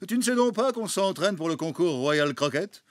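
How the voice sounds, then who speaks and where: A man's voice: a little high, expressive, normal speed, slight background noise, lightly acted. A man voicing a smug, condescending pet dog with a theatrical manner in a children's cartoon. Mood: mocking. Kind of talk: animated children's cartoon dubbing.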